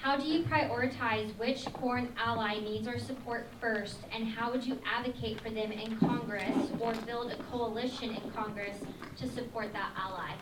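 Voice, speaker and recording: Female moderator, brisk, formal, in an echoey hall. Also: a little high, steady tone. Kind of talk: forum moderation. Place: event hall. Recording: distant mic, loud background noise.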